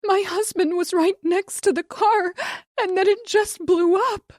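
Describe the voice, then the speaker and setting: A woman voicing a panicking wife who shouts and sobs in a high, strained voice. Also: sing-song, a little slow, no echo, studio quality. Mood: screaming, sobbing. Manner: shouting, frantic, high-pitched. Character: frantic panicking woman, wife watching disaster.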